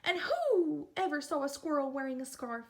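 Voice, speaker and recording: A woman voicing a great horned owl in a storybook, loud, hooting and knowing. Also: sits high, sing-song, normal speed.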